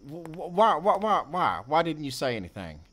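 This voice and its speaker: A man playing a sardonic, wounded ex-convict with a soft heart in an emotional audio drama. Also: a little high, big pitch swings, moderate pace. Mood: angry, pleading.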